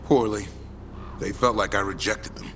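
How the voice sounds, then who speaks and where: Proud elf monster hunter, low voice, terse phrasing, video game dialogue, music behind. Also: male voice, fairly even intonation, moderate pace, a bit bright, slight background noise. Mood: reflective. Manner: terse, clipped short sentences. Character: gruff adult male elf monster hunter, proud and adventurous.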